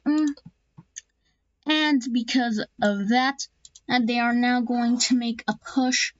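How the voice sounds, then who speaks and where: A woman casually narrating an alternate history map series, halting often with restarts and fillers. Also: on the high side, fairly even intonation, highs cut off.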